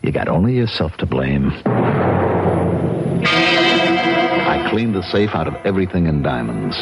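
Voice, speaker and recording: A man's voice: very deep voice, huge pitch swings, a little slow, exaggerated voice. An actor playing a bitter, scheming cheated husband who narrates his revenge in hard-boiled American slang in a radio drama. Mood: cold, vengeful. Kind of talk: 1970s radio drama acting, first-person crime narration. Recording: vintage radio recording with music.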